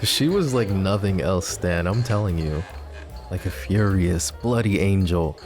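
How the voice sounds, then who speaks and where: A male voice actor playing a lovesick, brooding young mafia boss in an ASMR roleplay. He speaks softly and intimately, sometimes dropping to a whisper, with wry, self-mocking humor. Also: low voice. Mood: wry, rueful. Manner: soft, intimate, drops to whispers.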